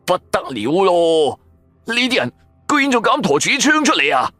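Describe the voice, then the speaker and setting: A man voicing an excitable, naive and tactless young sidekick in a Cantonese audio drama, blurting things out in exclamations. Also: high-pitched, moderate pace, no room sound, soft background music, voice pushed up, full character voice. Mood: alarmed.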